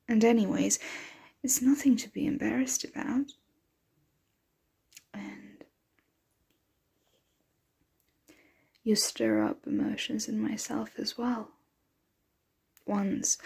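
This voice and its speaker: A woman's voice: mid-range voice, a little mellow, full character voice. Woman acting a whispering, motherly vampire seductress. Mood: adoring, gentle. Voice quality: breathy, whispery. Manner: slow, tender, soothing, refined wording. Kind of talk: ASMR roleplay, soft close whispering.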